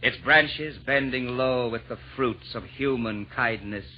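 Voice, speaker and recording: An actor playing a jolly, eloquent Santa Claus, declaiming rhymed verse grandly in 1940s radio drama style. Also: male voice, medium pitch, measured pace, faint music behind, close to an everyday voice. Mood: lyrical, rapturous. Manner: grand, declamatory rhyming delivery. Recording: dull vintage 1940s radio recording.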